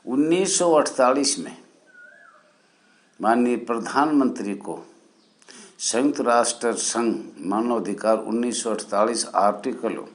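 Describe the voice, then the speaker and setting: Slow-talking man from India, lowish voice. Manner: deliberate, halting delivery in short phrases with formal honorifics. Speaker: channel host. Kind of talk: spoken history explainer for viewers.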